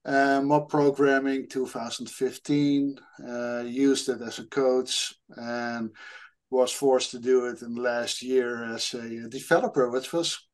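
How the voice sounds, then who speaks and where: A man's voice: medium pitch, steady tone, unhurried. A middle-aged man from the Netherlands with a Dutch accent, a guest in a casual panel chat who jokes about himself and laughs often. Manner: jokey, self-mocking, laughs often. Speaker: guest developer and coach.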